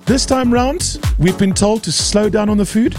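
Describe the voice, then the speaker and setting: A man's voice: high-pitched, moderate pace. A South African man presents a live radio show in a lightly raised register. He is chatty and curious, teasing as he goes through a casual interview, with music playing behind him.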